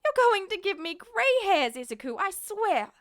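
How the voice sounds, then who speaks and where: A woman's voice: extremely high pitch, big pitch swings, normal speed, fairly bright tone, pitched above the performer's own voice, full character voice. A woman voicing a distraught, exasperated mother in a fan fiction audiobook, scolding with emphatic, reproachful delivery. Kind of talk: acted dialogue in an audiobook-style fan fiction reading.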